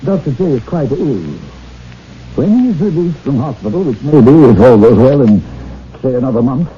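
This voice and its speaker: An actor playing a frank, measured family doctor in a radio drama, speaking firmly and deliberately. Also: male voice, mid-range voice, animated, lightly acted. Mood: grave. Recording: muffled old radio broadcast, faint noise.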